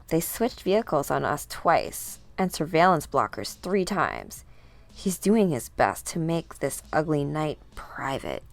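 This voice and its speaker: Slow, low-voiced woman reading dramatically. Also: no room sound. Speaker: comic book reader performing all characters. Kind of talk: dramatic comic book read-aloud.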